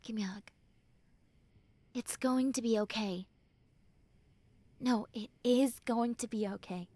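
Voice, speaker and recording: A high, young female voice acted by a woman in an intimate, dry roleplay recording. She is a tough tsundere friend letting her guard down. She speaks slowly and earnestly, with hesitant pauses and soft, tender reassurance. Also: dry recording. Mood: tender, comforting. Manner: earnest, hesitant, soft, often dropping to breathy near-whispers. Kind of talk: intimate roleplay audio acting.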